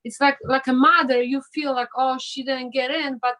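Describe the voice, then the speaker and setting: A woman, a parent on a webinar panel, speaking haltingly and searching for words over a video call. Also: high voice, steady tone, bright, forceful tone. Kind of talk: casual panel chat.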